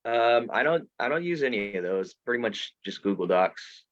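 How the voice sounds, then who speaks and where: A man's voice: medium pitch, expressive, normal speed, a bit bright, no room sound. A man from Florida, a guest author on a video call, rambling and restarting, swearing casually. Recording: dull dry video-call audio.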